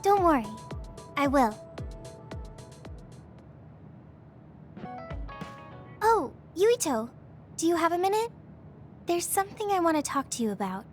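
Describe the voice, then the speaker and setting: Teenage girl soldier, high voice, earnest, cheerful yet self-doubting, video game, music faintly behind. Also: big pitch swings, moderate pace. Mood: resolute, sincere. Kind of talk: English video game voice acting.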